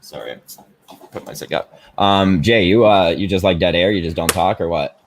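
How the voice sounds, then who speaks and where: A man's voice: low voice, normal speed, slight background noise. A young man co-hosting a podcast from home over an online call, telling rambling, profane stories in casual chat. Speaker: podcast co-host.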